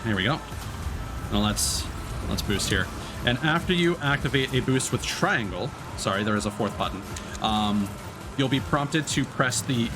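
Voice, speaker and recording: Man streaming game commentary over music. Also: medium pitch. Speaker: live streamer playing a racing game. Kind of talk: casual gameplay commentary, explaining controls.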